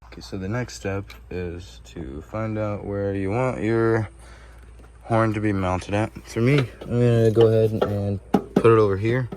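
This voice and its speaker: Low-voiced man, noisy DIY phone video. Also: darkish tone. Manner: rambling, informal, mildly profane. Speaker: do-it-yourself video presenter. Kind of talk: casual hands-on tutorial narration. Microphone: phone camera held while working. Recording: clearly noisy ambient phone recording. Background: ambient noise.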